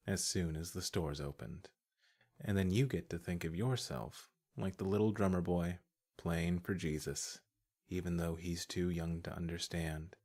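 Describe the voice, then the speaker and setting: A man's voice: low voice, darkish tone. A man working as a voice-over artist, reading scripted literary narration.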